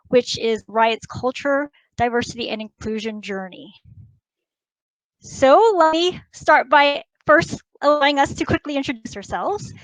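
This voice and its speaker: A woman's voice: sits high, no echo, low-quality recording. A woman in people and operations giving an upbeat, prepared keynote for a virtual conference. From United States and Taiwan. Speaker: company HR executive presenting a keynote.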